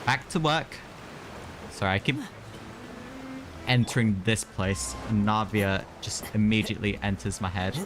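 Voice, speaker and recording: A man's voice: fairly low pitch, measured pace, long pauses, background noise. A man giving casual, rambling live commentary as he plays a game, muttering his reactions. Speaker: game streamer. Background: game music.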